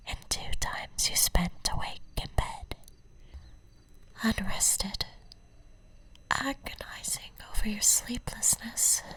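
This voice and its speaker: Woman acting a slow, whispering, obsessive female stalker. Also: mid-range voice, sharp tone, heavily acted. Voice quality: breathy whisper. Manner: slow, intimate, cooing endearments. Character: obsessive, possessive female yandere stalker, tender and menacing. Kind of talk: whispered ASMR roleplay.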